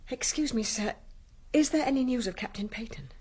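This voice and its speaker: A woman playing a distressed, guarded young parlour maid in a radio drama, hushed and pleading yet respectful. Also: a little high, lively intonation, a little fast, breathy edge. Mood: worried, guarded.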